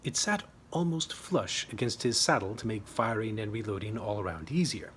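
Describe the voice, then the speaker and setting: A man's voice: lowish voice, lively intonation, moderate pace, a little hiss or hum. A man reading his own short story as a scripted narration, with a wry, tongue-in-cheek manner.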